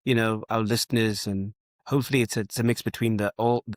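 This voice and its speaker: A man interviews as a podcast host in a fairly low voice. He is conversational and deferential toward his guest. The recording is dry, with hardly any room sound. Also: steady tone, fast, no echo. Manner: deferential, respectful.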